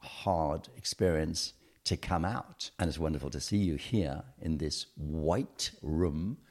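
A man's voice: extremely low pitch, slow, a little mellow. A middle-aged man as a podcast guest, talking thoughtfully about his upbringing with frequent hesitations. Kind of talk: reflective interview conversation.

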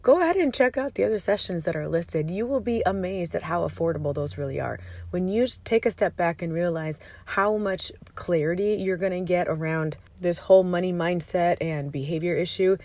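A woman in her thirties with a hoarse voice, hosting a solo money-coaching podcast from her parked minivan in an upbeat, chatty way. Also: lowish voice, brisk, darkish tone, dry recording. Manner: upbeat and chatty, with fillers and restarts.

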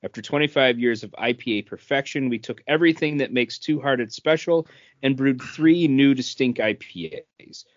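Dude on a podcast, dry dull audio, casually explaining stuff and reading bits out. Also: male voice, mid-range voice, moderate pace, dry recording. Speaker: podcast co-host. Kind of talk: casual explanatory chat, some reading aloud.

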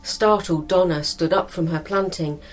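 A woman's voice: fairly low pitch, steady tone, moderate pace, loud music behind the voice, poor audio. A British woman narrating a scripted story as a storyteller.

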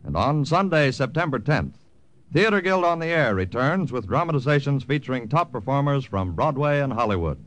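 Animated American old-time radio announcer man. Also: mid-range voice, moderate pace. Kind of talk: 1950s radio announcing, scripted. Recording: vintage radio broadcast with music behind.

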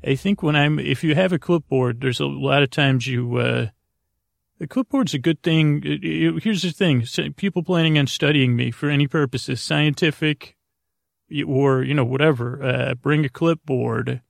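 A man with a rusty, gravelly voice hosting a bedtime podcast, meandering absent-mindedly through halting tangents and often interrupting himself. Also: a little high, dry recording, studio quality. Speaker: podcast host. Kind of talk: rambling bedtime monologue.

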